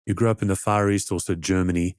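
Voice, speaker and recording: A man's voice: low-pitched, flat delivery, fast, clean tone, no echo, studio quality. A man hosting a podcast interview, curious and drawing his guest out with questions. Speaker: podcast host.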